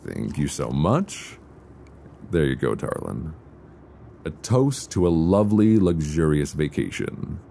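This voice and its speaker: Man acting as very deep-voiced, affectionate boyfriend. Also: very animated, gravelly, exaggerated voice. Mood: pleased, relaxed. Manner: soft teasing, unhurried, conversational with hesitant pauses. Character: affectionate, playful boyfriend on a luxury flight. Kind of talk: intimate ASMR boyfriend audio roleplay. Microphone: close binaural microphone. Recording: close binaural recording, faint background noise.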